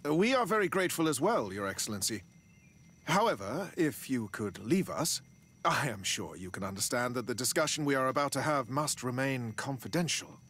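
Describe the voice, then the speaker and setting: A man's voice: mid-range voice, animated, moderate pace, fairly bright tone, husky. A man playing a polite, cautious official in a video game, speaking in a formal, tactful, polished way. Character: polite, cautious male colonial official, diplomatic negotiator. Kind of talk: scripted video game cutscene dialogue.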